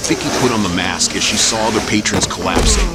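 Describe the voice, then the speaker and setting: A man narrating an audio drama in a measured, even storytelling voice. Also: fairly low pitch, heavy background noise. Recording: music underneath.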